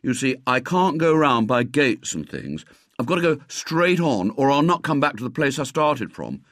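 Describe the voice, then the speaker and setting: A man's voice: medium pitch, big pitch swings, moderate pace, a bit bright, no echo, studio quality, pitched above the performer's own voice. Man playing a stubborn, self-important schoolboy. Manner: earnest, stolid, boyish slang.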